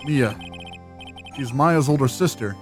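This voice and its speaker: A man's voice: medium pitch, lively intonation, slow pace, soft background music. A man voicing an earnest, anxious young defense lawyer in a fan voice-over of a visual novel game, hesitant and questioning. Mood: reflective.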